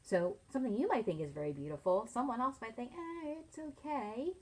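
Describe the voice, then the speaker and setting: A middle-aged woman, a teacher reading a picture book aloud to children, expressively and with pauses to ask them leading questions. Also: mid-range voice, very animated, darkish tone. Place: small furnished room at home, indoors.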